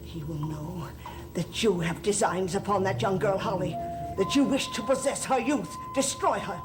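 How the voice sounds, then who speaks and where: A woman's voice: medium pitch, moderate pace, music in the background, lightly acted. An actress playing a solemn, formal, centuries-old priestess devoted to her gods in a gothic soap opera. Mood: defiant. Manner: grave, formal, portentous.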